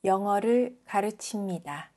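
A woman from South Korea reading example sentences as a language teacher, enunciating carefully and deliberately. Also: mid-range voice, mellow.

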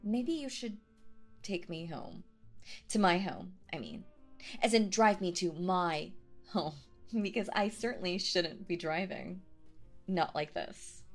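A woman playing a young, sweetly obsessed and possessive date in an ASMR roleplay, coy and airy in manner. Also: fairly low pitch. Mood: coy, flustered.

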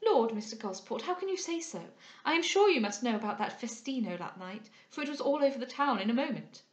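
A huffy young society lady as a woman reader acts her out, chattering in a high, gushing voice full of indignation. She is gossipy and voluble, a figure from a period storybook, heard in a roomy, echoey space. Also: animated, a little fast, natural voice. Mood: excitable, gossipy. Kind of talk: dramatised audiobook reading of a period novel.

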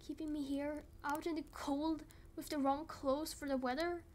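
Woman as timid, high-voiced hurt young daughter. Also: flat delivery, normal speed, a little mellow, a little hiss or hum, close to an everyday voice. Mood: hurt, accusing. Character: frightened, hurt young daughter, pleading and wary. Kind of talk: amateur Minecraft roleplay voice acting.

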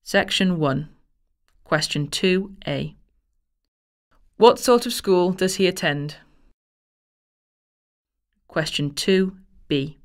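Female announcer, low voice, slow formal instructions. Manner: measured and formal. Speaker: exam instructions reader. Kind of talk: scripted exam instructions.

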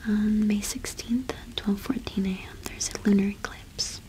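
A young woman speaking in a soft, breathy whisper close to the microphone, in a gentle, halting ASMR roleplay as a cottage witch shopkeeper. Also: mid-range voice, level tone. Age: young adult.

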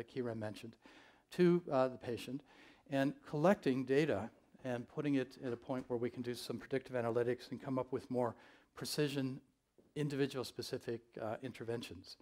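An older man giving a conference keynote on a large stage, speaking in a measured, thoughtful way with frequent ums and uhs. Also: mid-range voice, darkish tone.

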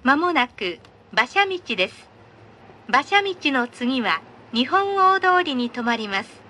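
A woman's voice: high-pitched, very animated, slow, sharp tone, slight background noise. A woman reading a scripted train announcement in a polite, formal, carefully enunciated manner.

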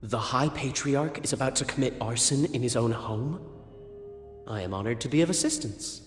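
An actor voicing a proud, hot-headed young fighter in a video game, haughty, theatrical and sarcastic. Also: male voice, mid-range voice, normal speed, lightly acted. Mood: grudging, wry. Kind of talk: dramatic game cutscene acting. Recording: reverberant game audio with music behind. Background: music.

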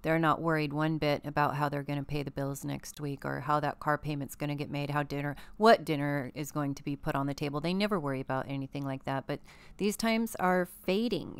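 A woman's voice: low voice, clear, resonant tone, dry recording. A woman co-hosting a casual spiritual commentary show, speaking emphatically as she agrees and explains. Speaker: co-host.

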